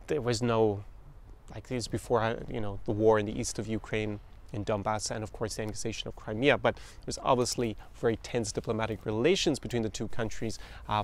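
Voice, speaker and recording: An Irish man in his thirties, hosting a podcast and telling a story from his travels in a chatty, anecdotal way. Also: fairly low pitch. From Ireland. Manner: anecdotal, quotes others in voices, chuckles. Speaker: podcast host.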